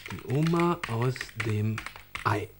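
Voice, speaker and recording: A man's voice: mid-range voice, lively intonation, takes it slowly, noisy background. A man playing a busy, mildly baffled but indulgent father in a children's radio play.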